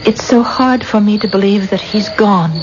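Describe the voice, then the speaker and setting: An actress playing a grieving, dreamy young bride in a 1970s radio drama, earnest and pleading. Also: female voice, medium pitch, moderate pace, close to an everyday voice. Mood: wistful, grieving. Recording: muffled, noisy old radio broadcast.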